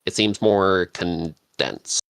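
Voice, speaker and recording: A man giving casual advice as a podcast panelist, halting and thinking aloud as he goes. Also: low voice, fairly bright tone, dry recording. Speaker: podcast panelist, script writer.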